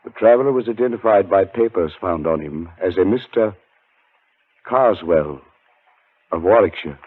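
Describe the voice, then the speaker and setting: An actor playing a haunted man relieved to escape a curse, in measured 1940s radio drama style. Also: low-pitched, expressive, husky. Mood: grave, deliberate. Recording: muffled 1940s radio broadcast, music behind.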